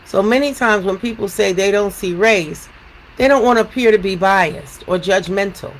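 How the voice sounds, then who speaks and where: A woman educator speaking in a deliberate, emphatic way, as in a read-aloud storytime and reflective talk. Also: mid-range voice, a little hiss or hum, low-quality recording. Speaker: educator reading a picture book aloud.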